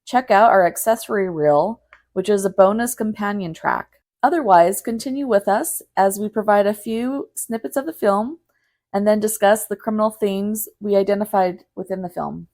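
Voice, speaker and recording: A woman's voice: mid-range voice, moderate pace, darkish tone. A woman co-hosting a podcast in a casual discussion. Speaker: podcast co-host.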